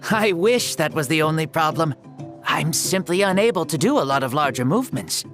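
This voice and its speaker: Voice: medium pitch, expressive, fairly quick, fairly bright tone, soft background music, close to an everyday voice. An actor playing an ancient hero who inhabits a young boy's body in a video game, theatrical, jaunty and self-assured. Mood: earnest.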